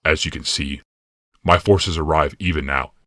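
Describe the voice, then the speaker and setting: A man voicing a cold, scheming commander in an audiobook, speaking in a measured, quietly sinister and commanding way. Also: very deep voice, fairly even intonation, no echo, clean studio sound, voice pushed down, heavily acted. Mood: calm menace. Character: cold, scheming male commander and healer, smugly menacing. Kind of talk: audiobook character dialogue.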